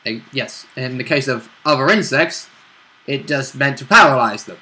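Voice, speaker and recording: A man's voice: mid-range voice, lively intonation, normal speed, bright, forceful tone, poor audio. A man chatting casually as a player about a tabletop role-play game. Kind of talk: casual chat.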